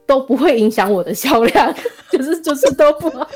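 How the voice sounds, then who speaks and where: A woman's voice: high voice, lively intonation, normal speed, faint music behind. A Taiwanese woman in casual podcast chat, speaking candidly and self-deprecatingly as a guest author.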